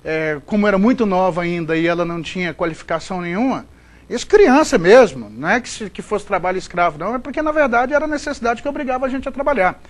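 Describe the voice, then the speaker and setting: A man's voice: high voice, expressive, quick pace. A middle-aged man from Goiás with a Goiás Brazilian accent, a sports broadcaster giving chatty, rambling interview answers. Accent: Goiás Brazilian Portuguese. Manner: chatty, rambling, frequent fillers and restarts.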